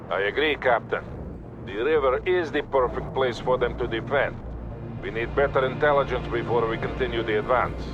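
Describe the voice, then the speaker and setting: An actor playing a cautious Soviet colonel, giving measured, authoritative orders in a video game. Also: male voice, medium pitch, highs cut off, close to an everyday voice. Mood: calm, cautious. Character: steady, cautious middle-aged Soviet colonel.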